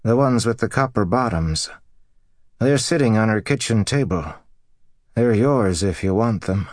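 A plain old farmer, dazed and troubled, voiced by a male audiobook narrator. He talks hesitantly and simply, pitched somewhat above the reader's low natural voice. The recording is dry. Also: animated, unhurried, dry recording. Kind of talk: audiobook character dialogue.

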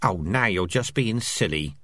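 A man's voice: low voice, expressive, measured pace, sharp tone, no room sound, full character voice. A man voicing a blunt, doubting male rat in an audiobook reading. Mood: skeptical. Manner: scoffing, blunt.

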